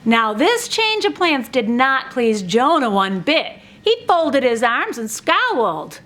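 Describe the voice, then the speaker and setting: A woman with a very high voice tells a children's story at a slow pace. Her pitch swoops widely, and she gives words dramatic, drawn-out emphasis. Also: big pitch swings, a bit bright. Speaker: narrator of a children's church puppet show. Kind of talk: expressive scripted children's storytelling.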